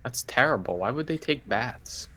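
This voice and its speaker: A man's voice: medium pitch, animated, normal speed, pushed and bright. A man voicing a whiny, bookish, nasal goblin wizard who thinks himself the smart one. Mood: whiny, unsure.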